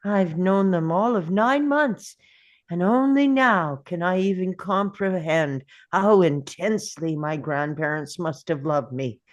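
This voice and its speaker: An actor at a script table read playing an elderly grandparent, reflective and tender, musing in halting phrases. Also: female voice, fairly low pitch, lively intonation. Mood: wistful, remembering.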